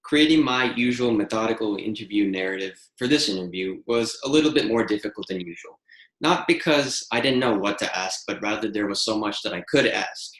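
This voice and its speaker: A man's voice: on the low side, a little fast, a bit bright, roomy sound, low-quality recording. A man hosting a talk show, reading a prepared intro and asking questions in a methodical way. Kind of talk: scripted intro and interview questions.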